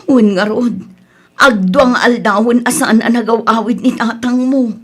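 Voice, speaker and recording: A woman's voice: a little high, fairly even intonation, a little slow, breathy edge. A woman playing an anxious middle-aged wife and mother in distress, in a radio drama. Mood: weary, worried. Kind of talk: radio drama acting in Ilocano.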